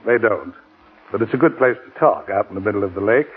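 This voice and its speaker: An actor playing a candid, genial middle-aged lawyer telling a story in 1940s radio drama style. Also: male voice, fairly low pitch, animated, fast, slightly rough. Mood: candid, confiding. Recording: tinny, band-limited 1940s radio broadcast, music.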